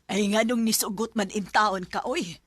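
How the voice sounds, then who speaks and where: A woman's voice: medium pitch, normal speed, slightly rough, no echo, faint music behind, close to an everyday voice. An actress playing a doubting, worried middle-aged village mother in a Bisaya radio drama, with a Cebuano Filipino accent. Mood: worried, reproachful.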